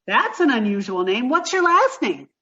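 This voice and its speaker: A woman's voice: fairly high pitch, sing-song, moderate pace, sharp tone, lo-fi sound. A woman playing a dim-witted, sweet, scatterbrained young woman in a comic old-time radio play, gushing and literal-minded. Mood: cheerful, naive. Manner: naive, scatterbrained, gushing, takes everything literally.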